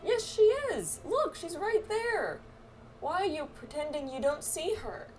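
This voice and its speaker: A woman voicing an awkward, earnest outcast boy in a game, with a halting, pleading manner. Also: extremely high pitch, lively intonation, distant mic, a little hiss or hum, pitched above the performer's own voice. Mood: defensive, pleading. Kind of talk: game character dialogue read aloud. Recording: game music behind the voice.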